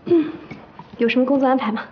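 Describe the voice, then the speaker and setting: A woman's voice: sits high, quick pace, highs cut off, soft background music, lightly acted. An actress in her twenties playing a spirited, eager and stubborn young assistant in a TV romantic comedy drama. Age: 20s. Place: office interior, TV drama production.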